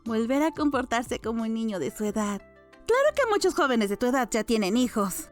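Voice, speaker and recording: A woman plays an elderly maidservant in an audiobook drama, her voice on the high side. She is playful and doting, teasing and fussing over her young master, with soft music behind her. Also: very animated, fairly quick.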